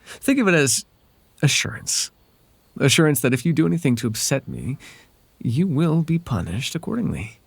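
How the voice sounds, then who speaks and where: A man voicing a possessive fae royal in an ASMR roleplay, courtly and coaxing with soft menace under a silky, creaky voice. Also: fairly high pitch, very animated, moderate pace, dry recording, heavily acted. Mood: smug, threatening. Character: possessive, silky male fae royal wooing a captive lover. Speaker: voice actor.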